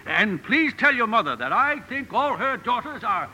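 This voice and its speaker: A man acting a gruff but kindly old gentleman with a courtly manner in a 1950s radio drama. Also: extremely high pitch, very animated, normal speed, exaggerated voice. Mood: kindly, coaxing. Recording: old radio broadcast with music behind.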